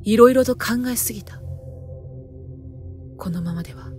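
A woman performing a first-person horror story narration in a theatrical, intense manner, moving between hushed and emphatic delivery. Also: fairly high pitch, normal speed, faint music behind.

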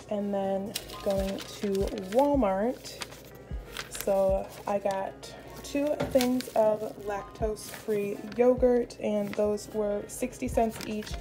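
A young American woman talking casually and chattily to viewers as she narrates a vlog. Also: medium pitch. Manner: chatty, informal. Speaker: vlogger. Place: indoors in a home kitchen. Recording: music playing behind the voice. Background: music.

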